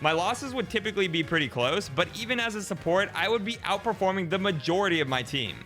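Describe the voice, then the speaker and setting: A man's voice: high voice, fast talker. Upbeat man narrating a game climb, music behind. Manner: even, explanatory storytelling. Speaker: streamer narrating his ranked climb. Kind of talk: scripted voice-over narration.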